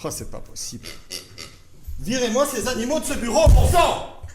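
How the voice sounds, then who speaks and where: A man's voice: very high-pitched, wildly swooping pitch, moderate pace, over music, pitched above the performer's own voice, heavily acted. A man playing a businesslike but easily annoyed TV producer on a prank call, hesitant and repeating words. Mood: exasperated. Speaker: radio host making prank call. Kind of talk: prank phone call, semi-formal pitch.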